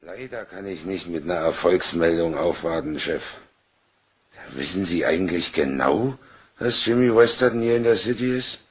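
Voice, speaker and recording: An actor playing a wry, self-deprecating small-time private detective in a German crime radio play, dry and laconic. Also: male voice, low-pitched, moderate pace, slightly rough.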